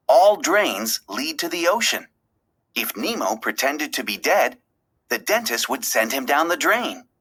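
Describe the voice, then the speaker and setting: Bright, animated woman reading stories aloud. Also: deep voice, husky. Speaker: children's story reader. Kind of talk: expressive read-aloud narration.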